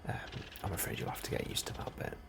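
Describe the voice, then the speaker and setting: A man doing a soft, breathy ASMR role-play as an unhurried, politely understated officer interviewing a newcomer. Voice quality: soft, breathy, often near whisper. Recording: steady ambient noise behind the voice. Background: computer and ambience sounds.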